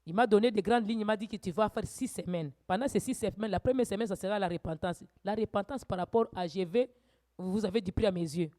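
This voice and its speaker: A woman's voice: medium pitch, fast talker. Woman preacher exhorting a congregation. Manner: preaching with rising, shouted exhortations and quieter asides. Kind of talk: sermon teaching. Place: church.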